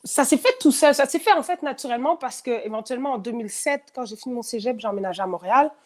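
Woman, thirties, Quebec French accent with a faint France-French tone, fairly high voice, candid, halting. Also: a little mellow. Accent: Quebec French with a slight France-French tone. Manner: candid, lots of fillers and repetitions, frequent laughs. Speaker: guest. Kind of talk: casual interview conversation.

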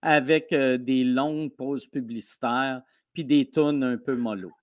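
A man with a Québécois French accent, a comedian guest telling stories in a casual podcast interview, animated and quick, with many restarts. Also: medium pitch. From Quebec. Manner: animated storytelling, fast with restarts.

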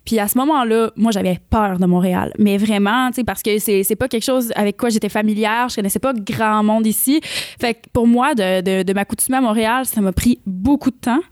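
A young woman in her twenties from Quebec, speaking with a Quebec French accent as a singer-songwriter guest in a casual interview, animated and laughing often. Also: medium pitch, brisk, no room sound, studio quality. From Quebec, Canada. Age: 20s. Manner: animated, laughs often, many fillers and restarts.

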